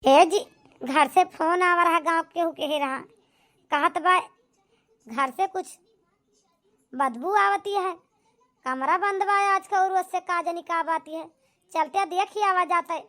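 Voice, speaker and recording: A woman's voice: extremely high pitch, measured pace, long pauses, fairly bright tone. A woman playing a grumbling village wife in an amateur cartoon, with an Awadhi accent and a complaining, gossipy manner. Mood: concerned. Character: grumbling village wife and resentful daughter Poonam. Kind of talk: amateur comedy cartoon dialogue in rural dialect.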